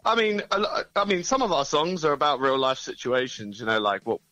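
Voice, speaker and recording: Easygoing band member, bright voice. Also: male voice, medium pitch, brisk, no echo, muffled recording. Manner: easygoing, hedging with "you know". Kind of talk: casual interview over the phone.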